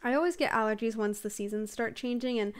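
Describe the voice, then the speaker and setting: A woman's voice: on the high side, fast, clean tone. A young woman narrating a casual voiceover over a game build, rambling and giggling between thoughts, her voice a little stuffy from allergies. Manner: rambling, chatty, giggles often, many fillers. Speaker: Sims builder and streamer.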